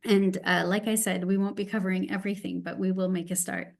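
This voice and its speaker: A middle-aged woman with a Canadian English accent gives an online presentation as a guest speaker, halting and nervous, with frequent ums and restarts. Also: mid-range voice, level tone, creaky, a little mellow. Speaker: guest speaker, Montessori teacher educator. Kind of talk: online presentation with shared slides. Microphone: video call.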